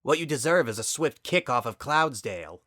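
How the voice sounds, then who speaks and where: A man plays an angry pony big sister in a storybook drama. The voice is hard-edged and lifted in pitch, full of sarcasm and confrontation. It sounds close and dry. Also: sing-song, normal speed, a bit bright, no echo. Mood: angry, threatening. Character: angry, sarcastic female pegasus pony, bitter older sister.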